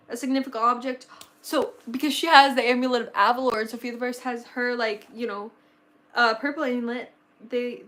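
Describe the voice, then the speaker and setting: Bubbly higher-pitched young woman. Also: a bit bright. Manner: bubbly, gushing, rambling with many fillers. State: slightly losing her voice. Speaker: livestream host. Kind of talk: casual livestream chat.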